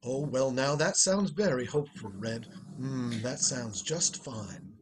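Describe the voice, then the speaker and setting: A man's voice: mid-range voice, measured pace, natural voice. A man acting a wry, unflappable upper-class ex-husband in a radio play, dry and teasing. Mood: wry, soothing.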